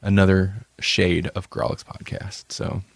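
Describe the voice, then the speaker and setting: A man's voice: deep voice, little pitch movement, measured pace, creaky, slightly rough, no room sound. A male podcast host in casual banter, playful and rambling, laughing often.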